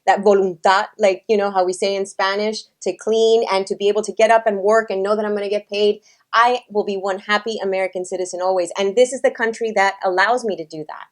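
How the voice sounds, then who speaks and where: Female talk show host, mid-range pitch, emphatic delivery, interview format. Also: steady tone. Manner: emphatic, quick to voice indignation. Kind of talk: political interview chat.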